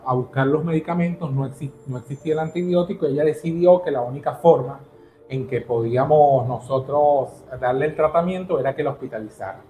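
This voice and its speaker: A man from Caracas with a Venezuelan accent, telling his story as an interview guest in an earnest, halting way with many restarts. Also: mid-range voice, darkish tone, echoey room, a little hiss or hum, low-quality recording. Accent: Venezuelan Spanish.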